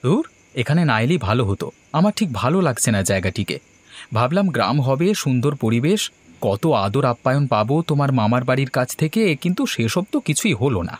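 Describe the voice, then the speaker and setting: An actor playing a polite, uneasy young man visiting a village in an animated horror story. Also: mid-range voice, fast talker. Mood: uneasy, grumbling.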